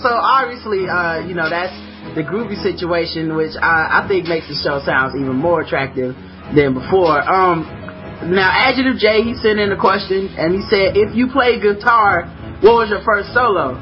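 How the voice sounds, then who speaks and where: A man hosting a podcast who says he has a high-pitched voice, joking around and swearing casually as he reads listener questions. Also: normal speed, lo-fi sound, music in the background. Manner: joking, profane, easily amused. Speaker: podcast host. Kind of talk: casual chat.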